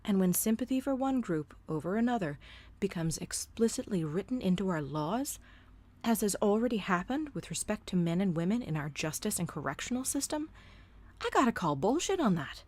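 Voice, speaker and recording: A woman's voice: mid-range voice, big pitch swings, normal speed, darkish tone. A woman delivering scripted opinion commentary in a blunt, sardonic manner, casually profane. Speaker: host of a solo commentary show.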